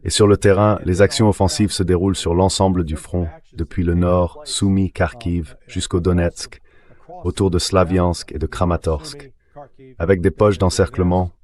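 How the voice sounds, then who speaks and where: Deep voiced guy doing dubbed political commentary, very low, measured and matter of fact. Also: a little mellow. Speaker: translation voice for speech and guest analysis. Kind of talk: translated voice-over of political commentary.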